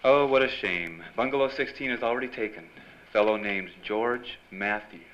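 An American actor playing a breezy, hardboiled newspaper reporter in an old-time radio noir drama. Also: male voice, fairly low pitch, normal speed, a bit bright, close to an everyday voice. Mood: sly. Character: wisecracking, persistent male newspaper reporter, noir hero. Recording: dull lo-fi live stage recording, clear noise.

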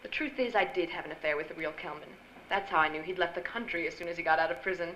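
A woman's voice: fairly low pitch, fast talker, a bit bright, close to an everyday voice. A woman playing a vain, vengeful fallen actress, a scheming villainess in an old-time radio drama, theatrical and biting. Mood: smug, bitter. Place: stage before a live audience. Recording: echoey live stage, faint noise.

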